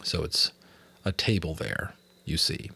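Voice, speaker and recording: A man's voice: rumbling low, unhurried, vocal fry, pushed and bright, breathy edge. A man with an American accent, reading a story aloud in dramatic audiobook narration.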